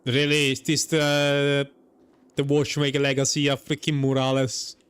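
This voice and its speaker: A man's voice: mid-range voice, completely flat delivery, unhurried, a bit bright, clean tone, no echo. A man giving casual, joking let's-play commentary as he reacts to a video game. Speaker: gaming streamer. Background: faint game music.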